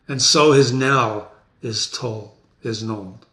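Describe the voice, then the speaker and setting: A man playing a proud, stoic, grieving soldier father in a grave, measured Shakespeare reading. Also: mid-range voice, sharp tone, pitched below the performer's own voice. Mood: stoic grief. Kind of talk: dramatic reading of a Shakespeare play. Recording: echoey room.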